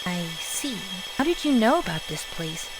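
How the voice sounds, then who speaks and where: A woman's voice: a little high, big pitch swings, normal speed, rough recording. A woman voicing a cautious, polite young hedgehog in a comic dub, reserved and inquisitive. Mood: curious, hesitant. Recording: prominent background music.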